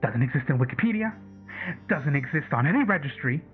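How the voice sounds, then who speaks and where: Guy playing worked-up conspiracy caller, swingy pitch, rambles n stammers n swears, phone-line sound, music behind. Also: animated, a little fast, lightly acted. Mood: agitated, obsessive. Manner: stammering, repetitive, profane rambling. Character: agitated, rambling male conspiracy caller, obsessed and insistent. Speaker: caller on a late-night radio show. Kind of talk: audio drama acted as casual talk radio.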